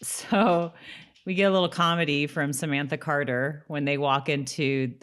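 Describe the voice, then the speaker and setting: A woman with a low voice co-hosts a podcast. She talks casually and chattily, like someone recapping a story to a friend, and laughs readily. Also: fairly even intonation, normal speed, fairly bright tone, smooth. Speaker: podcast co-host. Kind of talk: casual chat recapping a TV episode, some scripted summary read aloud.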